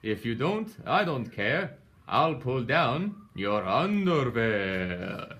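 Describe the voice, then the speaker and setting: An excitable young frog from a storybook, played by a man. He is cheeky and theatrical, stretching his words out. The pitch leaps around and the tone is bright and forceful. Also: heavily acted. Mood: singsong, cheeky. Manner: exclaiming, dramatic, drawn-out words.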